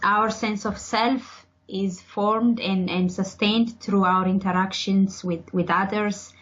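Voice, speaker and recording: A woman's voice: mid-range voice, steady tone, slow, fairly bright tone, muffled recording. A young woman from Ethiopia, a PhD student, answering interview questions in a thoughtful, hesitant way with many fillers. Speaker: podcast guest, PhD student.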